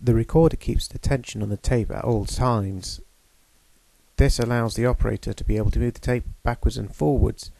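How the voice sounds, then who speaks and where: A man giving a measured, technical demonstration of a studio machine. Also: medium pitch, steady tone, creaky, warm tone, no room sound. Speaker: equipment demonstrator. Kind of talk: explanatory voice-over demonstration.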